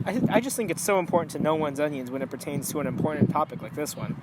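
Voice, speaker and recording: A man's voice: a little high, lively intonation, quick pace, lightly acted. A man playing an opinionated, alarmist author interviewed on a 1920s radio show. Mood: earnest. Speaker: talk show guest, author. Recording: noisy amateur recording.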